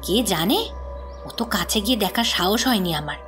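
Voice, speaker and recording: Actress as callous servant woman, animated, higher voice, blunt, gossipy, horror audio drama, music behind. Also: normal speed, close to an everyday voice. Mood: grimly blunt. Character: brusque, coarse, callous female servant at a girls' home. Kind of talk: audio horror story acting.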